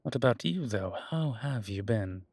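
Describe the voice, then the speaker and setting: A man playing a centuries-old, self-assured vampire in an audio drama, husky, with a dry, wry manner. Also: medium pitch, expressive, moderate pace, darkish tone, no room sound. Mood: amused.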